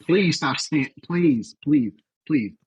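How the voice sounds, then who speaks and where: A man's voice: fairly high pitch, animated, slow pace, husky, no echo. A man in his twenties on a casual podcast, teasing and repeating his phrases. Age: 20s.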